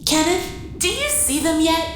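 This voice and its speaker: A woman playing an excitable, playful, geeky mechanical heroine in an audio drama. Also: extremely high pitch, moderate pace. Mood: focused, wry. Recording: echoey room, faint music behind.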